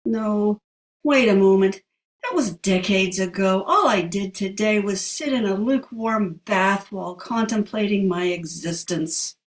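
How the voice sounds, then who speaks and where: A woman's voice: medium pitch, unhurried, lightly acted. A woman plays a grand, forgetful elderly lady in an audio play, given to dramatic, wistful rambling. She sounds distant from the microphone in an echoey room. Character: wistful, grand, dotty elderly female club member. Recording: roomy echo, off the mic.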